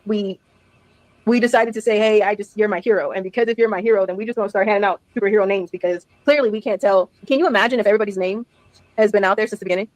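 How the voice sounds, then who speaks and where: A woman's voice: mid-range voice, fairly even intonation, racing, darkish tone, no echo, low-quality recording. A woman casually telling a story on a stream, chatty, laughing often and acting out the dialogue.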